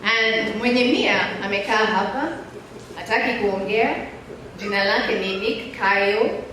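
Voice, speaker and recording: A woman's voice: mid-range voice, slow. A woman gives a motivational talk to young people in a church, telling stories conversationally and addressing her listeners directly. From born in Nairobi, Kenya, partly raised abroad. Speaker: guest speaker and mentor. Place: large echoey indoor church space. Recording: very echoey hall, distant mic, faint noise.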